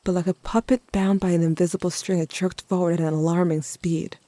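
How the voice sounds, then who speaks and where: A performer as a grieving teenager telling their story in an audio drama. The voice is mellow and mid-pitched, intimate and dramatic with wry asides. It is recorded close and dry. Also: level tone, brisk, no room sound, natural voice. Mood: tense. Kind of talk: first-person audio drama narration.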